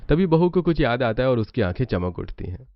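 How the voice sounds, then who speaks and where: A man's voice: mid-range voice, very animated, brisk, a little hiss or hum. Muffled male cartoon story narrator.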